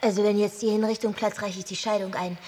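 An indignant, self-centred bride acted by a woman in a radio drama. Her mid-pitched voice is demanding and put out, over a faint bed of ambient noise. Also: little pitch movement, quick pace, mellow, lightly acted. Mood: aggrieved. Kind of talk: German radio play acting.

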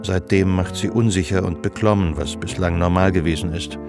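A man narrating a literary radio drama in a scripted, measured storytelling style, with a gravelly voice. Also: deep voice, fairly even intonation, over music, close to an everyday voice.

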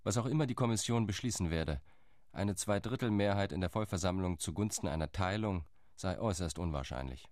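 An actor playing a measured male Zionist envoy who delivers a sober monologue in a radio drama. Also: sits low, animated, a little mellow, no echo, studio quality. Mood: reporting. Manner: sober, reporting tone.

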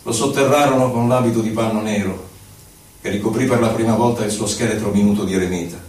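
A male stage actor playing a poet recalling his past in an expressive, measured, lyrical monologue. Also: on the low side, lightly acted. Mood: wistful storytelling. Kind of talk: theatrical poetic monologue. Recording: very echoey, distant from mic, faint noise.

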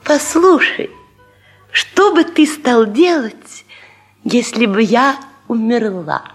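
An actress playing a fretful, vain wife in a radio comedy sketch, pestering, pouty and sorry for herself. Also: female voice, a little high, big pitch swings, slow, slightly rough, soft background music, heavily acted. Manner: pestering, pouty, self-pitying. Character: fretful, vain wife needling husband with morbid questions.

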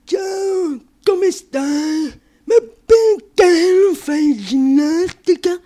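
An actress voicing a hard-of-hearing schoolboy with a jutting chin and slurred, pleading speech in a theatre monologue. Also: female voice, extremely high pitch, drawn-out words, warm tone, pitched above the performer's own voice, full character voice. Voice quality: slurred, mumbled.

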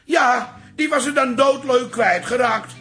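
A man's voice: extremely high pitch, a little slow, bright, forceful tone, soft background music, full character voice. An actor playing a fussy, meddling old father, blustering indignantly in a comic radio play. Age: older.

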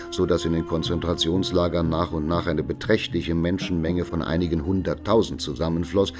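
A man playing a sober witness who reports atrocities in measured, formal, factual detail in a documentary radio drama. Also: low voice, lightly acted. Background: music.

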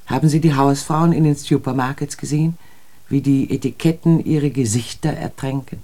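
An actress playing a disillusioned, educated woman in a literary radio drama, speaking in a measured, poetic and pensive way. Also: a bass voice, steady tone, mellow. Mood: bitter, pensive.

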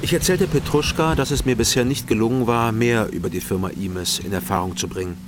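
A man playing an East German private investigator, narrating a crime radio drama in a measured, dry, matter-of-fact way. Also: mid-range voice, noisy background, lightly acted. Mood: brooding, matter-of-fact.

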